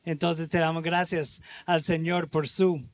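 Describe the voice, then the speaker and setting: American man preaching in halting Spanish. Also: on the high side, little pitch movement, no room sound. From United States. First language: English. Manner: warm, halting non-native Spanish with restarts. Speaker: guest preacher. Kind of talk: sermon.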